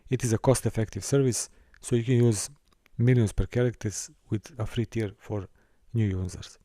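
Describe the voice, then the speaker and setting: A man from Serbia, teaching a course and walking through a software console, with careful, halting phrasing. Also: mid-range voice, no room sound, studio quality. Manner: careful, halting delivery with small restarts and non-native phrasing. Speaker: course instructor. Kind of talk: screen-recorded software tutorial.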